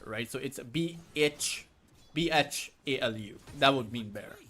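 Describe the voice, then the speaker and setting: Chatty esports caster, Urdu native. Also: male voice, on the high side, a bit bright, slight background noise. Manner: rambling, chatty asides between quick play calls. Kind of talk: solo play-by-play commentary.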